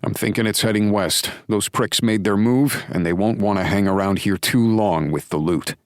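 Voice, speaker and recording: A man's voice: fairly low pitch, normal speed, no echo, voice pushed up, close to an everyday voice. A man voicing a firm, blunt male lawman speaking matter-of-factly on the phone, in a dry, close recording. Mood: urgent. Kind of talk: audiobook dialogue.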